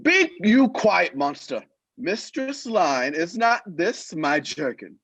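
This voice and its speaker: A woman playing a drunken, bossy man who fancies himself king, in a Shakespearean radio play, with a raspy voice. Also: lowish voice, big pitch swings, takes it slowly, dry recording, full character voice. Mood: bossy, indignant. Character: Stefano, drunken bossy man, self-styled island king.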